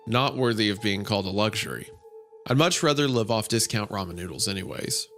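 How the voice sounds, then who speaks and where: Man reading as a bright-voiced, sarcastic young slacker blogger. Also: on the low side. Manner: chatty, sarcastic first-person blog delivery. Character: young male drug-addict blogger, breezy, bitter, self-mocking.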